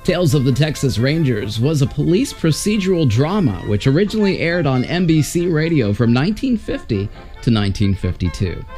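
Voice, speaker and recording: Man, scripted host narration, medium pitch, lively intonation, faint music behind. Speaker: host introducing vintage radio episodes.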